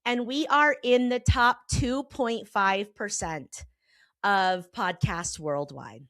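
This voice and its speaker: A slightly hoarse woman in her forties, a therapist hosting her own podcast, talking in a candid, emphatic way with casual swearing. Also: mid-range voice, slow pace, fairly bright tone, no room sound. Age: 40s. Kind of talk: personal podcast monologue. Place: Colorado.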